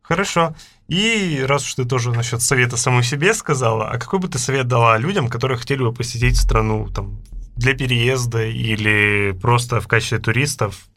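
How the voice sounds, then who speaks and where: A man interviewing a guest and asking relaxed, conversational questions. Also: mid-range voice, fairly quick.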